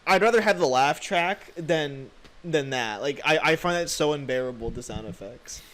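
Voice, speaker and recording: A man's voice: a little high, animated, moderate pace, fairly bright tone, a little hiss or hum. Blunt, opinionated young American man chatting. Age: young adult. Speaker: podcast co-host. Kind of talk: casual chat.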